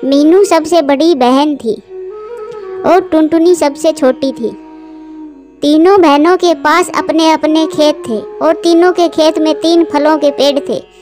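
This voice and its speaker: A woman's voice: sits high, takes it slowly, darkish tone, soft background music. A woman reading scripted narration for a children's cartoon story.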